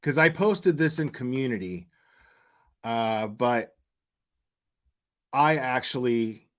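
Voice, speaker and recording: A man's voice: on the low side, a bit bright. A man hosting a live reaction stream with casual commentary, speaking bluntly and informally with some swearing.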